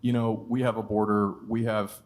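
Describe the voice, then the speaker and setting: A male moderator asks guests questions in a relaxed, conversational tone. His voice sits on the low side. He adds the odd joking aside. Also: moderate pace. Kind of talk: panel interview questions.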